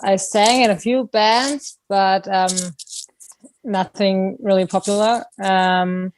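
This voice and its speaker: A woman's voice: medium pitch, fairly even intonation, slow, a little hiss or hum. Lady singer chatting in an interview, takes her time. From Germany. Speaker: band singer, interview guest. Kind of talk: casual interview chat.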